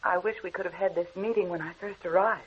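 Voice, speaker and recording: An actress playing a proud, ambitious young actress in a 1940s radio drama, speaking with poise and quiet resolve. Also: female voice, on the low side, steady tone, quick pace, breathy edge. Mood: wistful. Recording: muffled old radio broadcast.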